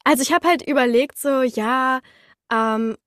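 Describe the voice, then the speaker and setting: A woman's voice: high voice, measured pace, fairly bright tone, no room sound, studio quality. A woman chatting casually as a podcast guest, informal and enthusiastic in her manner.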